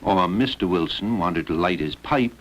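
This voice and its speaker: A man's voice: low-pitched, animated, normal speed. A man reading scripted narration for an educational film. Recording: dull lo-fi old educational film.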